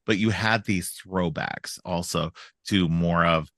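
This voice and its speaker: A man's voice: low voice, steady tone, slow, fairly bright tone, no room sound, clean studio sound. A middle-aged man hosting a casual film podcast, stuffed up from a cold, rambling with frequent restarts. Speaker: podcast host.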